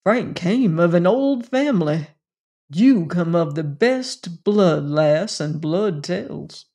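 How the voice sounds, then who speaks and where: A woman voicing a gentle, protective cowboy gunslinger with a rich voice and a folksy Western drawl in a storybook reading. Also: on the low side, lively intonation, takes it slowly, exaggerated voice. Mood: gentle, assured.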